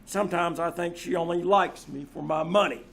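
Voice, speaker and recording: A man playing a lonesome, boastful millionaire in old-time radio comedy, with a halting, drawn-out delivery, recorded live on a theater stage. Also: on the high side, gravelly, a bit bright. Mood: lonesome boasting. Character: lonesome boastful pickle millionaire, rambling and self-amused. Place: small-town theater stage, live performance.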